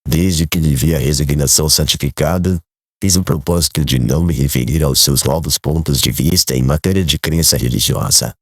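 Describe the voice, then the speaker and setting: A man playing a kindly, grave older man in an audiobook drama, speaking in a measured, formal way. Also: very deep voice, slightly rough, no echo, studio quality, full character voice. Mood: serene, firm. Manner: solemn, measured, formal literary phrasing.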